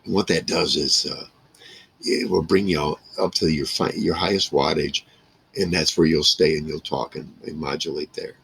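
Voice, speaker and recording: A man speaks in an extremely low, creaky and husky voice. He rambles casually to camera, talking in halting, broken phrases like an informal vlog. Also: fairly even intonation, a bit bright. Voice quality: gravelly, rough. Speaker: vlogger reminiscing about a radio hobby. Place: small wood-paneled room indoors.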